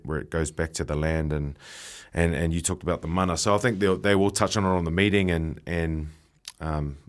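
Young kiwi rugby guy, really deep voice, stumbles and repeats himself a lot. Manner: halting, repeats words often. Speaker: professional rugby player, guest. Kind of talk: casual radio chat.

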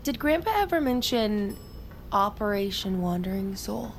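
A woman's voice: mid-range voice, animated, moderate pace, a little hiss or hum, lightly acted. An actress playing a brash, stubborn young woman in army training, with blunt, sarcastic delivery in an audio drama. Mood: awkward, probing. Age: young adult. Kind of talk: naturalistic audio fiction acting.

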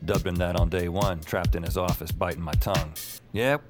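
An actor playing a wry, jaded 911 night-shift operator who narrates his own audio drama in a deadpan, sardonic way. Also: low-pitched, brisk, over music, lightly acted. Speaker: lead character and narrator. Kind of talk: audio drama acting with first-person narration.

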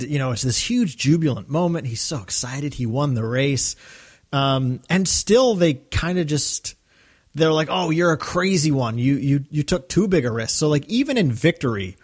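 A man's voice: medium pitch, lively intonation, measured pace, a little mellow, breathy edge. A man co-hosting a podcast, recapping casually with a fan's enthusiasm. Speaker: podcast co-host.

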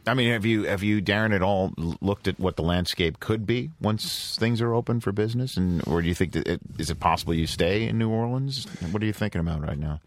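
A man's voice: fairly low pitch, a little fast, few pauses, slight background noise. A man in his forties hosting an interview show, asking questions in a playful, teasing manner. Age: 40s. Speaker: host.

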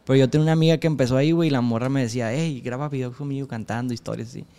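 Podcast guest: twenties, male, rapid relaxed slang, low warmth in tone. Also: smooth. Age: 20s. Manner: slangy, says "güey" constantly, laughs often. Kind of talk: casual chat.

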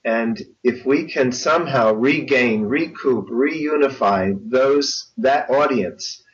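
An older man talks in a reflective interview style at a slow pace. His phrasing is articulate and measured. The sound is dull and sits away from the mic. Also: mid-range voice, recorded from a distance. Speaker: interview guest, audiobook narrator. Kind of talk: conversational interview.

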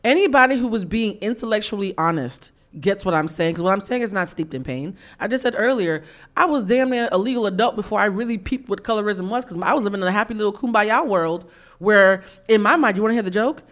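A woman in her forties from the US East Coast hosts a frank podcast conversation. She talks at a brisk clip, and her voice stays in an ordinary middle register. She tells stories emphatically and holds firm opinions. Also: mid-range voice, expressive, a bit bright. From East Coast of the United States. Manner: emphatic, opinionated storytelling with acted-out quotes. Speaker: podcast host.

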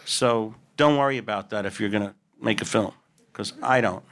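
A man's voice: fairly low pitch, moderate pace, fairly bright tone. Man musing through a film Q&A. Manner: musing, answers his own rhetorical questions. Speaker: film director at a Q&A. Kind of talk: casual panel Q&A answers.

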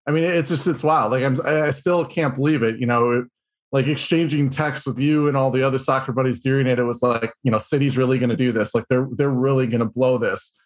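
Guy cohosting a soccer podcast, rambling and excited, middle-pitched voice. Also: a little fast. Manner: excitable, rambling, lots of fillers and restarts. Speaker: podcast co-host. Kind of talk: casual sports podcast chat.

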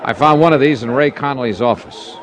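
A man's voice: medium pitch, brisk, a faint crowd. A man narrating and playing a hard-boiled insurance detective in a 1950s radio drama, terse and matter-of-fact. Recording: old radio broadcast with music.

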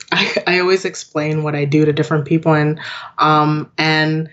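A woman's voice: deep voice, little pitch movement, moderate pace, a bit bright, lo-fi sound. A woman in her thirties, born in Jamaica and raised in western New York, chatting as a guest about her work, laughing often and acting out what others say. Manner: chatty, laughs often, acts out quoted voices. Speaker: guest, digital product owner. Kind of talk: casual interview chat.

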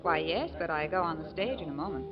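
Playful moll, lower female voice, animated swoops, coy, vintage radio drama. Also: fast. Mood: polite. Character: coy, playful gangster's girlfriend, female stage singer. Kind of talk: 1940s radio acting. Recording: muffled, noisy vintage 1940s radio recording.

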